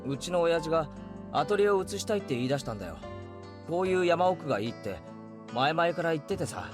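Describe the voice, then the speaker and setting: A man playing a casual, brash teenage boy in a visual novel, offhand and rough-spoken. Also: fairly high pitch, very animated, racing, music in the background. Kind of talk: Japanese visual novel voice acting.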